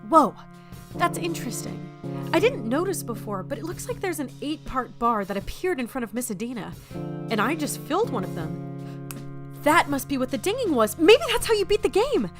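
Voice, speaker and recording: A woman playing a feisty, flustered young office newcomer in an audio drama, excitable, sarcastic and prone to stammering. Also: sits high, normal speed, over music. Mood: puzzled.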